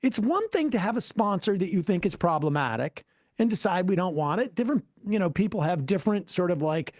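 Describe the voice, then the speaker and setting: A commentary host who keeps pausing a played clip to make sarcastic points. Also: mid-range voice, sing-song, a little mellow, dry recording. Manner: interrupts to pause the clip, sarcastic.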